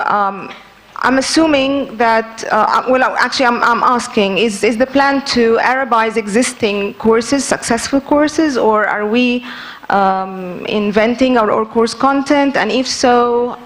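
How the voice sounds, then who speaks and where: A woman in the audience asking a long formal question at a panel, pausing often with fillers. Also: fairly high pitch. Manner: formal, hesitant with many fillers.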